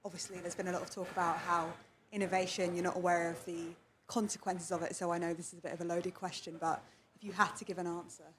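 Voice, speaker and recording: A woman's voice: fairly low pitch, level tone, fast talker, a bit bright. A woman in an audience casually putting a question to a speaker. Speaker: audience member asking a question. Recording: clearly noisy live event pickup.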